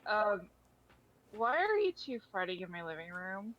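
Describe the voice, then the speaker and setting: A performer plays the living void of deep space in an audio drama, a casual, mildly irked homebody entity. The delivery is dry and matter-of-fact, and the recording is slightly dull and lo-fi. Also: big pitch swings, measured pace. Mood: puzzled. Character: the Void, laid-back, mildly annoyed cosmic entity at home. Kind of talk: hobby audio drama dialogue.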